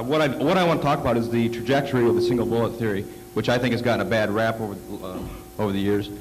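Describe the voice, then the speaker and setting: A man presenting slides at a conference, halting with many fillers and restarts, fighting off a cold. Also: mid-range voice, low-quality recording. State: coming down with a cold. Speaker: conference speaker. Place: conference hall.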